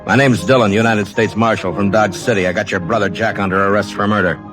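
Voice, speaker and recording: Male actor as unyielding frontier marshal for radio western. Deep, clipped, dry authority. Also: fairly even intonation, fast, music in the background, natural voice. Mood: hard, challenging. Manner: terse, commanding, dry. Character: stern, fearless frontier US marshal, grown man, dry and unyielding. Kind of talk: 1950s radio western acting.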